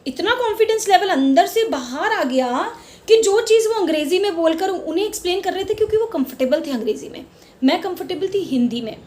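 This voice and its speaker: A middle-aged Indian woman with a high voice talks straight to her viewers in a casual vlog. She speaks with fervor, drives her points home by repeating words, and drops English terms into her Hindi. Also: animated, fairly quick, darkish tone. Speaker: channel host. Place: lives in Mumbai.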